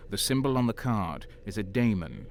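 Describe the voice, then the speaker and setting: A man playing a brooding, cynical soldier in an audio drama, recounting dark deeds in a halting, sombre, bitter way. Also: on the low side, very animated, a little hiss or hum. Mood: tense, ominous. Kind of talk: dramatic first-person narration and audio drama acting.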